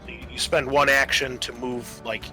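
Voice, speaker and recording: Bright-voiced man running a tabletop game. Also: medium pitch, little pitch movement, normal speed, faint music behind, poor audio. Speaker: game master.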